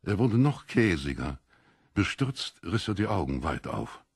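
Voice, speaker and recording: Ein Mann liest als Hörbucherzähler einen literarischen Text, mit rauer Stimme und bedachter, dramatischer Phrasierung. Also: male voice, sits low, big pitch swings, measured pace, breathy edge, no echo, studio quality. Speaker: audiobook narrator. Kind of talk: scripted literary narration.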